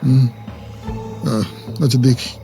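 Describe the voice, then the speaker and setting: An actor playing an uneasy newlywed husband in a horror audio drama. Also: male voice, medium pitch, flat delivery, slow pace, loud music behind the voice. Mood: subdued, brooding. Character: newlywed husband, bank employee, uneasy in new house.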